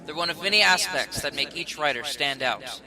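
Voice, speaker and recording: A man's voice: fairly high pitch, lively intonation, normal speed, pushed and bright. A man reading scripted narration as a guest presenter on a video.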